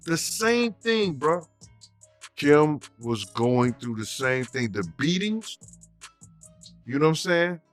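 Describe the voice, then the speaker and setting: A man talks in a casual interview in a slow, emphatic, slangy way and keeps repeating his point. His pitch swings widely as he speaks. Faint music plays behind him. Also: big pitch swings.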